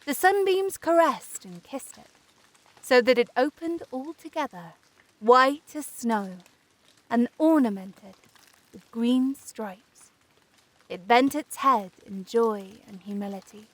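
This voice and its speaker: Woman reading a fairy tale, high voice, takes her time, expressive and measured. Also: dry recording, rough recording. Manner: careful, measured storytelling. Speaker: audiobook narrator.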